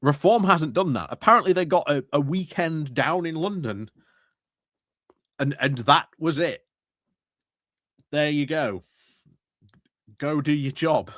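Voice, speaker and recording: A man's voice: fairly high pitch, a little slow, long pauses, fairly bright tone, no room sound, clean studio sound. A man giving casual, emphatic political commentary as a host, often repeating points for stress. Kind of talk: casual political commentary over a played clip.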